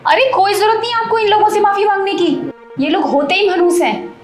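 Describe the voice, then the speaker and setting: A haughty young woman sneers and dismisses everyone, as an actress plays her. Her voice is very high and shrill. The drama scene carries roomy echo and faint background music. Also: fairly quick. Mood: scornful.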